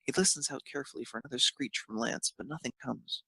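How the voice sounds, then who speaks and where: A man's voice: medium pitch, fast talker, vocal fry, bright, forceful tone, husky, dry recording. A man narrating a piece of fan fiction, reading it aloud.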